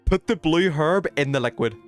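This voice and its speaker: A man doing casual, excitable gaming commentary, thinking aloud in slang as he chats with stream viewers. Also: fairly high pitch, moderate pace, faint music behind. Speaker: video game livestreamer.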